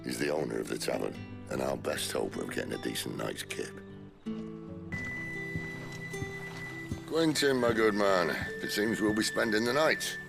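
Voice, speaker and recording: An actor playing a gruff, wry middle-aged rebel leader in a dark fantasy game, with teasing, unhurried authority. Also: male voice, over loud music, heavily acted. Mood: affable. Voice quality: gravelly, deep. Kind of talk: video game cutscene acting.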